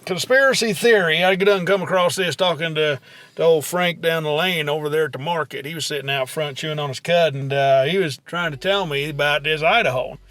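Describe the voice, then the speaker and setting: A man's voice: fairly high pitch, a little fast, fairly bright tone, no room sound. A man with a rural American accent co-hosting a comedy podcast, spinning tall tales in a folksy, stubbornly insistent way. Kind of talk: comic banter, telling tall tales.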